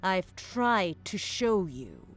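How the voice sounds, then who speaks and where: An actress playing a knowing, sinister mystic woman in an audio drama, speaking deliberately with calm, ominous menace. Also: medium pitch, soft background music. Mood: ominous revelation. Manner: slow, deliberate, coolly ominous.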